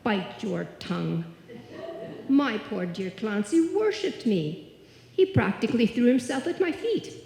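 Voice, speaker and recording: A woman's voice: fairly high pitch, big pitch swings, a little slow, gravelly, dark tone, exaggerated voice. A woman playing a bossy older Irish widow and landlady in live old-time radio comedy, with a dramatic, crooning delivery. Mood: indignant. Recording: echoey hall, live stage performance.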